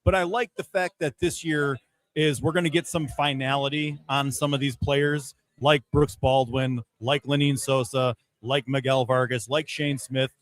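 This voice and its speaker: A man giving casual, measured sports analysis as a podcast guest, hedging his points as he goes. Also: mid-range voice, fairly even intonation, no echo.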